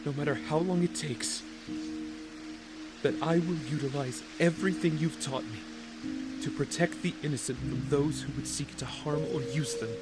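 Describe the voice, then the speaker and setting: An actor playing an anguished, devoted young man in an audio drama, halting and pleading. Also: a little high, over loud music, lightly acted. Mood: solemn, tearful. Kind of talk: melodramatic audio drama acting.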